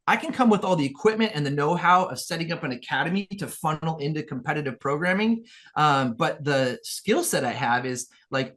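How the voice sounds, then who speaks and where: A man's voice: on the high side, a bit bright. A man from Peru, a guest in an interview, telling his story earnestly in a rambling way, with many restarts. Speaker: guest, business owner.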